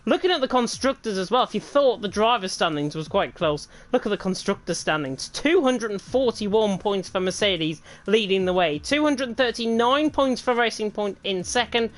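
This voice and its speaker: A woman co-commentating an online racing league, talking quickly and leaning hard on the numbers. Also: medium pitch. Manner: fast-talking, lively and emphatic. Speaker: esports race commentator. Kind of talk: live sim racing commentary.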